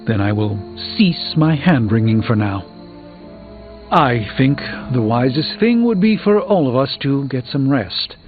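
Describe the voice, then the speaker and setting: An actor playing an earnest, formal superhero sorcerer burdened by regret in a video game, speaking in an articulate, measured way. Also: male voice, mid-range voice, expressive, muffled recording, lightly acted. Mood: conceding. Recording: band-limited game audio with background music.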